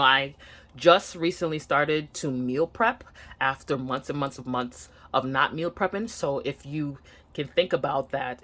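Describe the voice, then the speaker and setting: A woman with a Nigerian accent giving a casual, candid personal update to camera at home, earnest and a bit hesitant. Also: a bass voice. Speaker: vlogger. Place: home room.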